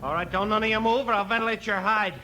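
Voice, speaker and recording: An actor playing a bossy, menacing young outlaw leader in a 1950s radio western, barking orders impatiently. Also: male voice, high voice, fairly even intonation, fast, natural voice. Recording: noisy, echoey vintage radio recording.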